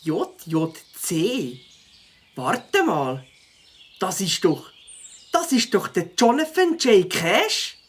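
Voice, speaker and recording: Woman voicing a lively skeptical boy beaver. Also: medium pitch, extremely slow, breathy edge, heavily acted. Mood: suspicious. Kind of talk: children's audio drama.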